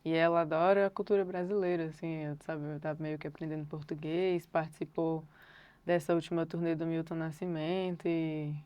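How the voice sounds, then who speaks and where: A young woman with a Brazilian accent talks through her answers slowly, reaching for filler words as she thinks. Her voice sits low and sounds smooth and clean. The sound is dry and close, with no room around it. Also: little pitch movement, warm tone, no echo, dull audio. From Brasília, Brazil. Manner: thoughtful, unhurried, hedges often with filler words. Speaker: singer-songwriter guest. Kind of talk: reflective interview answers.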